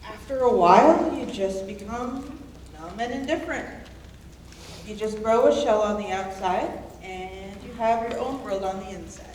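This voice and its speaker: A woman's voice: medium pitch, a little slow, a little mellow, close to an everyday voice. Woman acting a tough prisoner in an echoey room. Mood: guarded, reflective. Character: hardened, curt, bookish former runaway woman prisoner. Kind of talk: live staged reading of a musical. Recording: very echoey room, far mic, faint noise.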